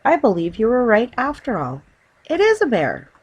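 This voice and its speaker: A woman voicing a sensible middle-aged wife in a children's story, expressive and given to exclaiming. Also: fairly high pitch, normal speed, husky, voice pushed up, exaggerated voice, rough recording. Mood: amazed.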